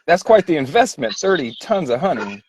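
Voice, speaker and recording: A man hosting an online panel, easygoing and quick with short affirmations. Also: on the high side, big pitch swings, a little mellow, slightly rough, no echo. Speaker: host.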